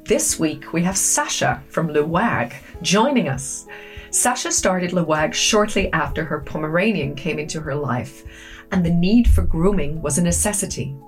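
A woman's voice: low voice, lively intonation, normal speed, faint music behind. A woman hosting a podcast interview, chatting enthusiastically with many restarts and asides. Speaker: podcast host and dog yoga teacher. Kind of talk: casual interview chat.

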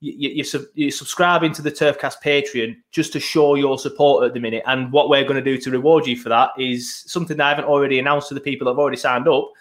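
A man's voice: medium pitch, flat delivery, quick pace, hardly any pauses. A man hosting a casual football podcast, chatty and rambling with lots of ums, recorded at his laptop. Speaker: podcast host. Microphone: laptop setup, video podcast.

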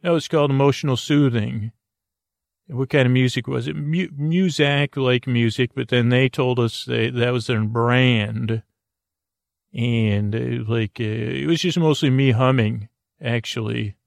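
A man hosting a bedtime podcast, rambling softly off on tangents in lulling, creaky, dulcet tones. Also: mid-range voice, unhurried, dry recording, studio quality. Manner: meandering, tangent-prone, self-interrupting, drowsy and soft. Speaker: podcast host.

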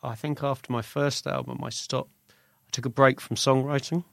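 A British man speaks as a musician being interviewed, with a creaky edge to a mid-pitched voice. He talks informally and loosely, circling back to correct himself. The sound is dry, with very little room in it. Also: flat delivery, normal speed, dry recording. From Britain. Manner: rambling, self-correcting, informal. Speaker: musician guest, singer-songwriter. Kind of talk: casual interview chat.

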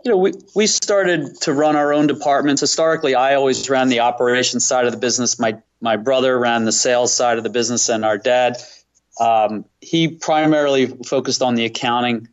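A man's voice: medium pitch, fairly quick. Middle-aged male guest, mid-range voice, conversational business interview, slightly muffled lo-fi sound. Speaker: interview guest, company president.

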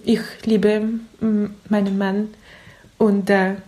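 A woman's voice: mid-range voice, level tone, takes it slowly, dark tone. A woman who is not a native German speaker, with a foreign accent, giving reflective interview answers in careful, searching phrases. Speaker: interview guest.

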